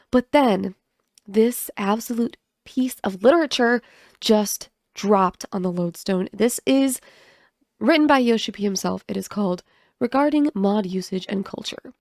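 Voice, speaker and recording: A sick, congested woman talks through a gaming topic with a cough drop in her mouth. Her voice has a gravelly edge and sits at an ordinary middle pitch. The sound is dry and close, with no room around it. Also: no room sound. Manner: chatty, self-mocking, animated asides. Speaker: gaming video host. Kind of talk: casual commentary and reading aloud.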